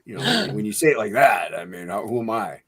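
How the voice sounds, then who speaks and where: Lively, joking man in banter. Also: lowish voice, fairly quick, a crowd in the background. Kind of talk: casual group chat.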